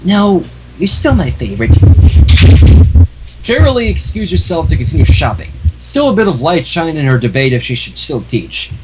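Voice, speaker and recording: A man's voice: fairly high pitch, very animated, brisk, hardly any pauses. A man informally reading a fan story aloud on a livestream, adding his own offhand comments. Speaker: livestream fanfiction reader. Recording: noisy home livestream microphone.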